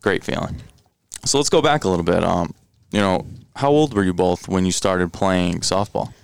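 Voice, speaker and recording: A man's voice: low voice, normal speed, gravelly, smooth, dry recording. A young man hosting a casual sports chat, upbeat and chatty with lots of fillers. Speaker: podcast host.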